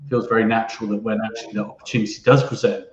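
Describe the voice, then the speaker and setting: A man's voice: on the low side, level tone, rapid-fire. A man hosting a live business interview in a casual, conversational way, with somewhat hesitant phrasing, recorded in an echoey room. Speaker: host, company co-founder. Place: indoor room, online live stream. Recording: roomy, muffled microphone with background noise.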